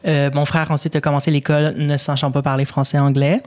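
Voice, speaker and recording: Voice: medium pitch, level tone, quick pace, a little mellow. A 24-year-old podcast co-host from Quebec with a Québécois accent, Spanish as first language, chatting casually and swearing in slang with English thrown in. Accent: Québécois French. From Quebec, Canada. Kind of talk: casual chat.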